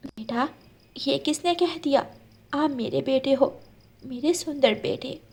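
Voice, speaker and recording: A woman voicing a gentle, caring mother bird in a children's cartoon, soft-spoken and tender. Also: high-pitched, slow. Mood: tender, sorrowful. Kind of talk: Hindi children's cartoon voice acting.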